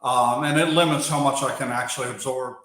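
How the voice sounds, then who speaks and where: A man's voice: mid-range voice, steady tone, fairly bright tone. American-accented man on a very echoey stream. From Germany. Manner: unhurried, pausing with fillers, answering chat between thoughts. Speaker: wildlife channel host. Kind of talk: live stream chat with viewers. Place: outdoors or open area while working on a bike. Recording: very echoey space.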